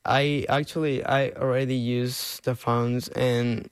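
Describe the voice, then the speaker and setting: Smooth young man with a Peruvian accent. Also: medium pitch, little pitch movement, slow pace, no echo. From Peru. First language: Spanish. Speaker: interview guest, clarinet student. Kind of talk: casual interview answers.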